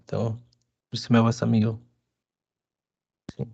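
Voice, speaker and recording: A man with a bit of a cold, giving a halting, filler-heavy live coding lesson at his computer. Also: mid-range voice, monotone, vocal fry, darkish tone, slightly rough, dry recording. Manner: halting, many restarts and fillers. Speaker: instructor. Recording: dry, dull lo-fi sound.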